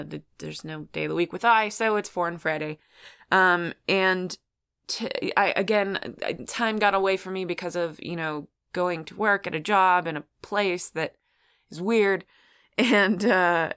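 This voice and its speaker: A woman's voice: lowish voice, fairly bright tone, smooth. A woman hosting a solo podcast, talking casually in a rambling, chatty way about what she watches. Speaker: podcast host.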